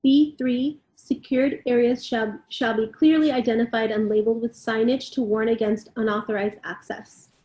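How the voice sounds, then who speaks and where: Woman, slightly high and very mellow, reading formal text aloud in a roomy echo. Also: steady tone, moderate pace, hardly any pauses. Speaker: reader and discussion moderator. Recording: roomy, echoing sound.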